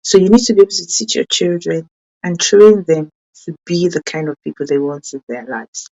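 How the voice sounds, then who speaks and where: A woman's voice: moderate pace, warm tone, muffled recording. Earnest woman, Yoruba-speaking. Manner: earnest, self-correcting, with sudden rises in pitch for emphasis. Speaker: parenting channel host.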